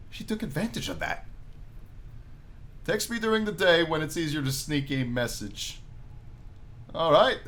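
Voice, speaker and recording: A man's voice: a little high, a bit bright. A man hosting a gaming video, reading a visual novel aloud and commenting casually in a playful, storytelling way.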